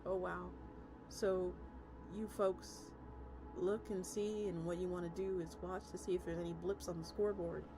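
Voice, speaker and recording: A woman's voice: lowish voice, level tone, moderate pace. A woman streamer reading a story aloud expressively, sometimes stumbling over words and restarting lines. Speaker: VTuber streamer. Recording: music playing under the voice. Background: music.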